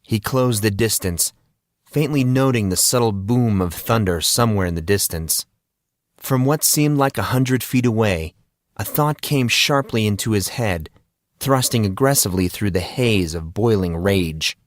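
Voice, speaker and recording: A man narrating a fantasy story as an audiobook, in a dramatic, deliberate and portentous manner. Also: on the low side, animated, dry recording, studio quality.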